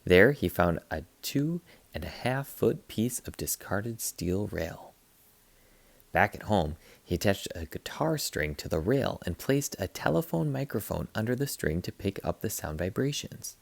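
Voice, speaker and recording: Male read-aloud narrator for children's books: playful, lively swings in pitch, close dry sound. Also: unhurried, creaky, no room sound. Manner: expressive, playful, acts out sound effects. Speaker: teacher reading aloud to students. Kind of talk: animated children's picture book read-aloud.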